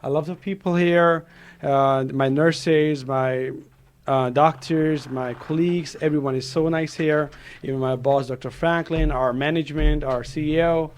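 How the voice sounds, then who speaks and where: A man in his thirties from Pakistan, a doctor guesting on a radio show, speaking in an earnest, halting way with many fillers. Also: a little high, fairly even intonation, a little hiss or hum. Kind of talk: casual interview talk. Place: radio studio.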